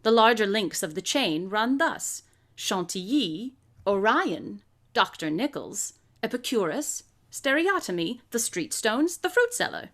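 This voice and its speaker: A brilliant lady sleuth in a radio play. She is self-assured and methodical, with precise phrasing and a lively, wide-ranging pitch. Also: measured pace. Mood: confident deduction. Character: brilliant, self-assured female amateur detective. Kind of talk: live radio drama acting.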